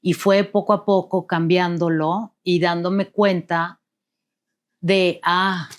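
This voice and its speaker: Earnest woman with a lowish voice. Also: flat delivery, slow pace. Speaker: guest, co-author. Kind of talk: conversational interview answers.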